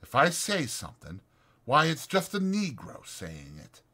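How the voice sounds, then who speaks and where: A man's voice: a little high, unhurried, voice pushed up, heavily acted. A man voicing a lonely, bitter, needling stable hand in a dramatized audiobook, with folksy, insistent, taunting talk. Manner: insistent, taunting, folksy, drawn into excited speeches.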